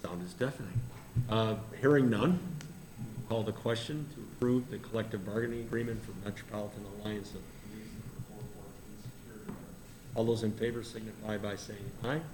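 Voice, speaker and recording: A man's voice: fairly low pitch, steady tone, noisy background. Man chairing a meeting, echoey room. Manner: brisk procedural, dry quips. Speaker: board chair. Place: boardroom.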